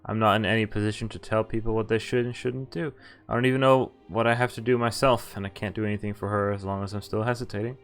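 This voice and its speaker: Low-voiced man reading a game aloud. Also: steady tone, quick pace. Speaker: game streamer. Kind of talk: reading visual novel text aloud with casual commentary. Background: game background music.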